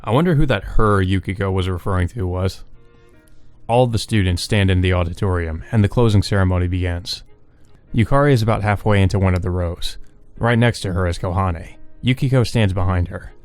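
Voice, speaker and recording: A man reading a visual novel aloud as a let's play host, in measured, subdued narration with brief asides. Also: low-pitched, a little mellow, dry recording, faint music behind.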